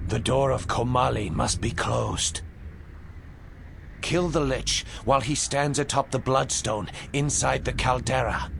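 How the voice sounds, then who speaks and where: An actor playing a noble, doomed prince in a fantasy game, weary, solemn and pleading. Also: male voice, medium pitch. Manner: solemn, formal, imploring. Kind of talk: dramatic fantasy game acting. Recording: clear ambient noise behind.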